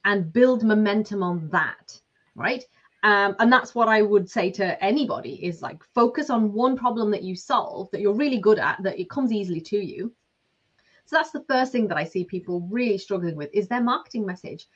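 A woman's voice: mid-range voice, expressive, moderate pace. A woman in her forties with a Lancashire accent, a business coach talking chattily with lots of fillers. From Lancashire, England. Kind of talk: casual interview talk. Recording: slightly dull lo-fi sound.

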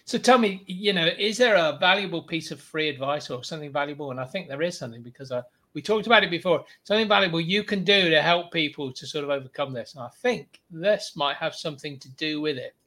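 Male interviewer, slightly hoarse, friendly, slightly higher-pitched, stop-start questions. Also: quick pace, hardly any pauses, pushed and bright. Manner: friendly, restarts questions often. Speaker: podcast host. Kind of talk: casual interview.

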